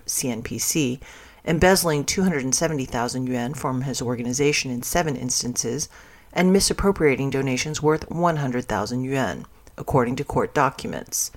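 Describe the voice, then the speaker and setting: A news reader narrating a scripted news story aloud for a podcast. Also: mid-range voice.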